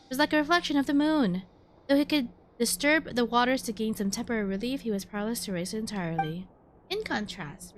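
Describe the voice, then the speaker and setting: Woman streamer over background music. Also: mid-range voice. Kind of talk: casual game playthrough commentary, reading story narration aloud.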